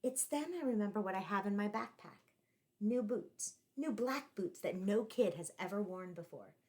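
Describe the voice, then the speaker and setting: A woman reading a picture book aloud to children in an expressive, deliberately phrased storytelling style, like a teacher. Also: mid-range voice.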